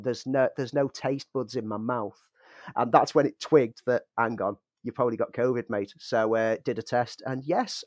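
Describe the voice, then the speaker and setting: A man's voice: medium pitch, no room sound. A man co-hosting a podcast, rambling in a self-mocking way and chuckling, with a lingering cough. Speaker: podcast co-host. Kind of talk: casual podcast chat.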